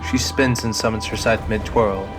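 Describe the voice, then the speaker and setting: A man narrates a scripted audio drama in a fairly low voice. Music plays clearly behind his storytelling. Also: steady tone, normal speed.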